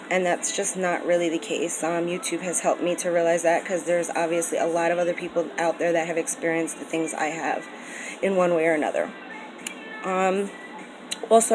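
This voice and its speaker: A woman's voice: lowish voice, noisy background. Earnest, rambling American woman vlogging. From United States. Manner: earnest, rambling, many ums and restarts. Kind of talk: casual personal vlog talk.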